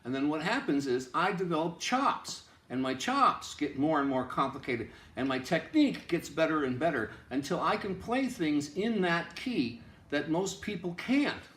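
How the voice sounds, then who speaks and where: Echoey, higher-voiced man teaching music. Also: expressive, normal speed, fairly bright tone. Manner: emphatic, repetitive explaining. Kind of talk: informal instructional lecture. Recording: roomy, echoing room sound.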